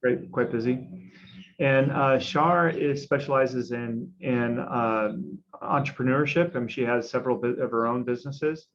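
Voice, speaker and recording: A man hosting a panel discussion, introducing guests with many pauses and fillers. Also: mid-range voice. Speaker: host. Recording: slightly dull lo-fi audio.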